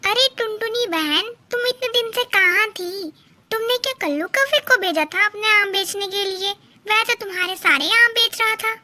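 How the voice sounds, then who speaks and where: A woman's voice: very high voice, moderate pace, bright, forceful tone, full character voice. A woman voicing a small female bird, earnest and plaintive, in a children's cartoon. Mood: concerned.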